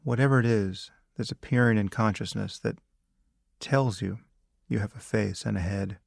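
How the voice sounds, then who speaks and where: A man with a fairly low voice and a noticeable creak guides a meditation. He speaks as a teacher, calm and deliberate, leaving long pauses between short phrases. The sound is dry, with no room around it. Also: dry recording. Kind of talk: guided meditation, spoken instruction.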